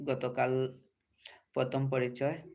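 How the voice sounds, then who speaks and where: A man's voice: medium pitch, completely flat delivery, slow pace, darkish tone, muffled recording. A man hosting a casual livestream, speaking directly to commenters and often repeating his phrases. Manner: repetitive, addressing commenters directly. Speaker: livestream host. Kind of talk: casual livestream chat with viewers.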